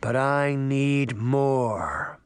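A man voicing a reckless, power-drunk uncle in a storybook, declaiming loudly and excitedly. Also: sits high, normal speed, no echo, studio quality, pitched above the performer's own voice. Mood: ominous.

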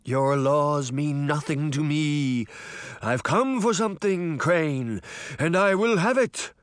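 A man's voice: a little high, no echo, pitched above the performer's own voice. Man putting on a moaning, menacing phantom horseman. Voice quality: moaning, croaking, drawn out. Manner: menacing, slow, bellowing. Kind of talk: audiobook character voice.

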